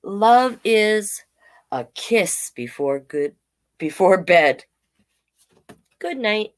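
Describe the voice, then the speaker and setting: A woman hosting a children's storytime online, reading deliberately and adding casual, chatty asides. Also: medium pitch, lively intonation. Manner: slow, deliberate read-aloud delivery with chatty asides. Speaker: drag king storytime host.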